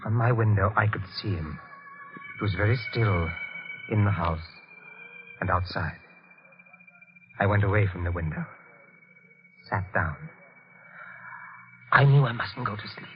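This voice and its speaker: Haunted killer husband in vintage radio horror. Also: male voice, fairly low pitch, expressive, noisy background. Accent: American. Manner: guarded, clipped, halting. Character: tense, guilty, insomniac killer husband confessing, evasive. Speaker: actor and narrator in radio drama. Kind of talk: 1940s radio horror acting. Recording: dull vintage radio recording with music.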